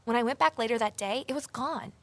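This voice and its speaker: An actress playing an inquisitive amateur sleuth woman in an audio drama, expressive and pointed in her delivery. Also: on the high side, fast, no echo, lightly acted. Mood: curious, suspicious. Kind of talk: full-cast audio drama acting, narration and dialogue.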